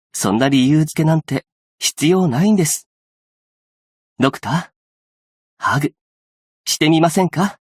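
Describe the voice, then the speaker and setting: An actor playing a gentle, patient, earnest young male aid worker in a game, speaking politely and softly in measured, formal phrases. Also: medium pitch, husky, dry recording, clean studio sound. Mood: warm, gentle. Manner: polite, soft-spoken, measured formal speech. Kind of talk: scripted game character voice lines.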